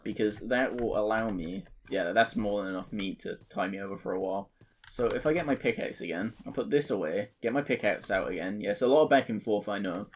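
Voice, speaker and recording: A man in his twenties giving rambling, joking commentary over a video game, his voice cracking and jumping between high and deep. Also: a little fast, slight background noise. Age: 20s. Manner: rambling, joking, self-mocking. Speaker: let's play creator. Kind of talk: casual gameplay commentary.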